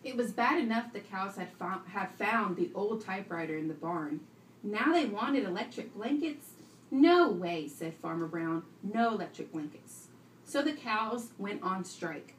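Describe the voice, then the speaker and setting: Lively woman teacher in a roomy classroom. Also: mid-range voice, a little slow. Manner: instructive, prompting and repeating pupils' answers. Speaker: teacher reading aloud to a class of young children. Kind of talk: classroom read-aloud with questions to pupils. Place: indoor classroom, recorded from a distance. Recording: roomy, distant classroom recording with faint noise.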